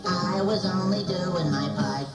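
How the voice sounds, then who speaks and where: A woman doing an eager helpful boy puppet, cheery, slow delivery, guitar music, distant echo. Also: fairly low pitch, natural voice. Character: eager, helpful male puppet, a proud good citizen. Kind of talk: scripted musical puppet show. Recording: echoey, distant VHS sound with music.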